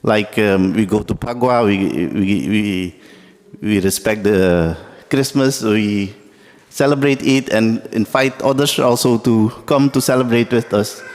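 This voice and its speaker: Deep-voiced Dutch-speaking man in a hall. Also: darkish tone. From Suriname. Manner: halting, with many fillers and restarts. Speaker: guest speaker. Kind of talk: ceremonial speech. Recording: long hall reverb.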